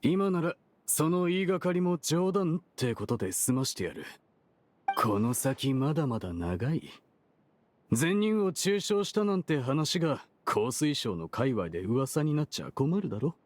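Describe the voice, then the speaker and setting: A shady, intimidating merchant from a game. His higher-set voice swings a lot as he condescends and threatens, with clear noise behind him. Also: very animated, measured pace. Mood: threatening. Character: shady, intimidating merchant man, smooth-talking and menacing. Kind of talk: Japanese game dub dialogue.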